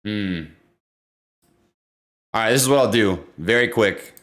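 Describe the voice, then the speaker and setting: A young man hosting a casual livestream, talking loudly and excitably while reading chat. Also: fairly low pitch, a little slow. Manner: loud, excitable, says he always yells. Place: home room.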